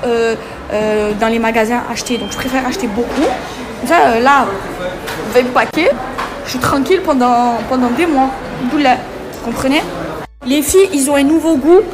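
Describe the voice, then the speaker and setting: A young woman from France, vlogging casually as she shops and chats, switching into halting broken English. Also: sits high, background noise. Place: busy indoor shops.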